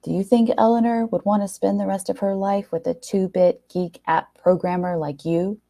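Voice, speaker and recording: A jealous false friend, a young man who speaks in clipped, sardonic lines, played by a woman reading a story. The voice is mellow and gravelly, guarded in its delivery. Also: mid-range voice, fairly even intonation, normal speed, lightly acted. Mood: bitter, menacing. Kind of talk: storybook character dialogue.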